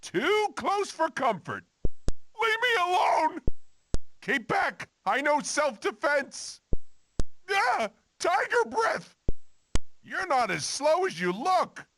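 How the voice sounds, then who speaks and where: An excitable, cocky cartoon video game character, high-pitched and swooping wildly. The short shouts are drawn out and taunting, over faint noise. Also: female voice, slow pace, pauses often, heavily acted. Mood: taunting, alarmed. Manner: exclamatory, taunting.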